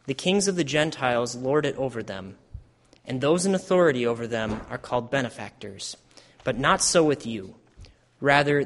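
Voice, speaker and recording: A man playing a solemn religious teacher in a dramatic scripture reading, speaking in a measured, declamatory way with long hall reverb. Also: medium pitch, lightly acted. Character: Jesus, solemn adult male teacher at his last supper.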